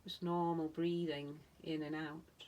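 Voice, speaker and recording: A woman meditation teacher leading a guided relaxation in a soothing manner, speaking in short phrases with long pauses. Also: deep voice, little pitch movement, dark tone, poor audio. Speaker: yoga nidra meditation teacher.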